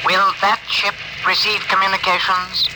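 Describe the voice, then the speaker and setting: An actor in a classic radio drama playing a gentle, melancholy alien who speaks in stilted, literal phrases through a translation machine. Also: male voice, sits high, monotone, moderate pace, lightly acted. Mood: grave. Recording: noisy old radio drama recording.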